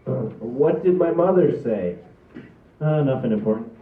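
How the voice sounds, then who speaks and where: Man playing harried, sarcastic son, animated, tinny. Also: mid-range voice, normal speed, close to an everyday voice. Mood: uneasy. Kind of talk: amateur script table read. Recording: tinny, echoey, distant mic.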